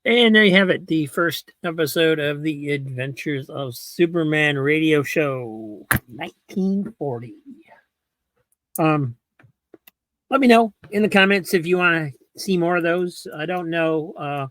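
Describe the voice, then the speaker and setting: Guy host chatting casually, kinda high voice, rambly, close dry sound. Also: a little slow, a bit bright, dry recording, low-quality recording. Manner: rambling, hesitant. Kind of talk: casual commentary.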